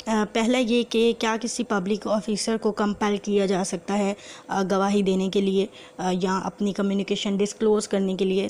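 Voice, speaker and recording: A woman's voice: medium pitch, a little mellow. A woman with a Pakistani accent teaching in a methodical, explanatory lecture style, reading out and translating formal text. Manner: methodical, slow and deliberate when reading quoted text. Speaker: law lecturer.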